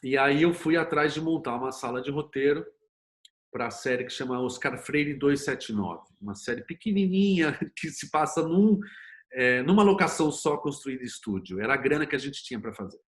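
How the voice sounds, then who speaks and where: A man's voice: medium pitch, lively intonation, normal speed. Brazilian man explaining things informally. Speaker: interview guest, screenwriter and series producer. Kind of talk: conversational explanation in an interview.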